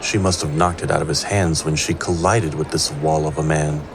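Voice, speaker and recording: A man's voice: extremely low pitch, steady tone, normal speed, noisy background. A man performing scripted narration of a fantasy tale as an all-knowing storyteller. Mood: suspenseful. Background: prominent music.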